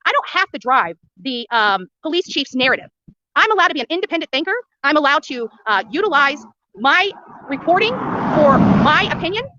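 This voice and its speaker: A woman's voice: sits high, pushed and bright, no echo, muffled recording. A woman reporting live to her viewers outdoors as an independent commentator, speaking in an indignant, blunt and emphatic way and repeating phrases for emphasis. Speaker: independent livestream reporter, host of own show. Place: outdoors at a roadside in front of a house.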